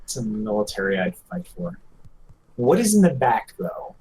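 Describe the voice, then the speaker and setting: A man's voice: on the low side, very animated, normal speed, a little mellow, slight background noise, low-quality recording. A man co-hosting a podcast in casual banter. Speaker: podcast co-host.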